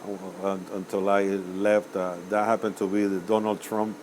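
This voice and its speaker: An older man from Cuba, a musician answering interview questions in a rambling, hesitant way with many pauses and self-corrections. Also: low-pitched, flat delivery, darkish tone, a little hiss or hum, poor audio. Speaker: musician guest.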